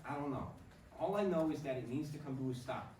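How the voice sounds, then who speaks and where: An actor playing a troubled, earnest baseball player with a brooding, halting delivery in a staged play reading. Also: male voice, a little high, a little mellow, natural voice. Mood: troubled, insistent. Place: performance room, recorded from a distance. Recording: roomy, distant mic, faint noise.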